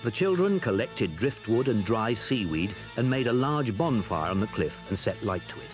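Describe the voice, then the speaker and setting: A man's voice: fairly low pitch, big pitch swings, normal speed, over music. A man reading scripted narration for a children's story.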